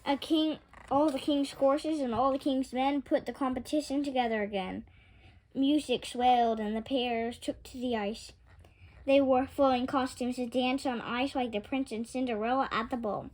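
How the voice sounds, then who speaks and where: A woman reading a children's picture book aloud with expressive, dramatic storytelling. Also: sits high, moderate pace, rough recording. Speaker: storytime reader.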